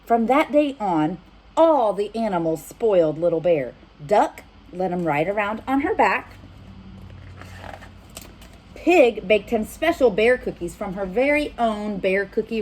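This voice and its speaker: Female storytime reader, very animated intonation, slightly husky, addressing small children. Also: medium pitch, takes it slowly, low-quality recording. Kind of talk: animated picture-book narration with asides to children.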